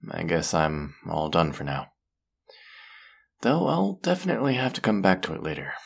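A young man plays a worn-out college boyfriend in an intimate audio roleplay. His voice is extremely low with a gravelly fry. He talks slowly and softly, drowsy, hesitant and affectionate. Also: full character voice. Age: young adult. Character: tired, overworked, affectionate college-student boyfriend.

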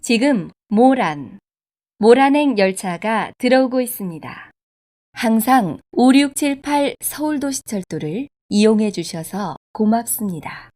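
Formal woman making subway announcements, higher-pitched. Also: lively intonation, warm tone. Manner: formal, polite, measured. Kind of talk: scripted public transit announcement.

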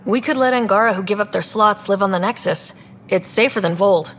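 A woman's voice: medium pitch, fairly quick, slight background noise, lightly acted. A woman playing a capable, earnest young space explorer in a video game, speaking plainly and in a businesslike way. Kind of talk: video game dialogue acting.

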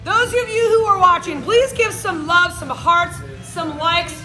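Bubbly high-voiced woman coaching in an echoey gym. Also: measured pace. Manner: bubbly, encouraging, cheering and counting down. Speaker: fitness coach. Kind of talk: live workout instruction. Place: large gym with hard walls. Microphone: camera mic a few steps away. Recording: echoey gym with music behind. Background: workout music.

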